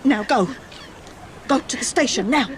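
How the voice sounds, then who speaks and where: A woman's voice: high-pitched, animated, moderate pace, slight background noise. A woman playing an anxious, protective middle-aged English mother on the run in an audio drama, with an urgent, hesitant motherly manner. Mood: urgent, grieving.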